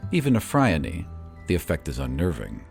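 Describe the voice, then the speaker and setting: A man's voice: rumbling low, wildly swooping pitch, normal speed, vocal fry, a little mellow, faint music behind. A man narrating a tabletop fantasy game as its game master.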